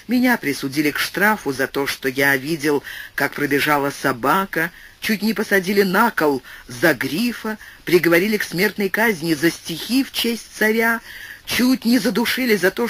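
A man voicing a wise, patient young nobleman who speaks in calm, reasoned pleas, read within a storybook. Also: sits high.

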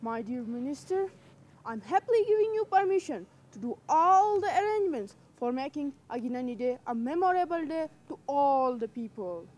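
A woman's voice: high-pitched, very animated, darkish tone. A student playing a gracious young king. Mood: regal. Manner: slow, formal, stilted recital. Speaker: student actor. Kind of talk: school drama performance. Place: outdoor rooftop terrace in mountains.

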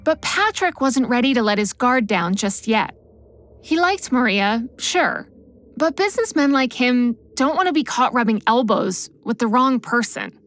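Podcast narrator, woman, bright high register, measured scripted delivery. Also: expressive, soft background music.

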